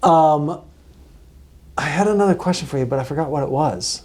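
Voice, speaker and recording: A man hosting a casual podcast interview, asking questions and chiming in with quick agreeing replies. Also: fairly high pitch, a little mellow. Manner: chatty, lots of short agreeing replies. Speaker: podcast host.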